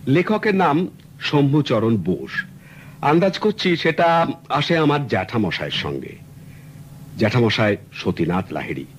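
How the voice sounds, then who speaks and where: An actor playing a polite, earnest middle-aged client in a radio drama, explaining his story courteously and at length. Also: mid-range voice. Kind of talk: 1970s radio drama acting. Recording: old radio recording with faint hiss.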